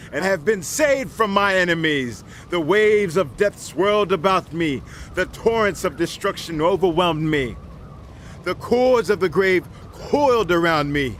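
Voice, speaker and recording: A man playing a devout warrior king, reciting a psalm of praise in measured, poetic declamation for a dramatized scripture reading. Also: a little high, a little hiss or hum. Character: reverent warrior king singing praise to God.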